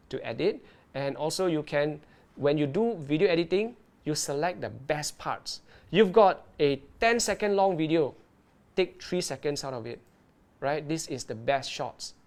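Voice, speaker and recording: Lively man presenting on a livestream. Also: a little high, moderate pace. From Malaysia. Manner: lively, keeps checking in with "right?". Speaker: underwater video presenter. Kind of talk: live-streamed tutorial and Q&A.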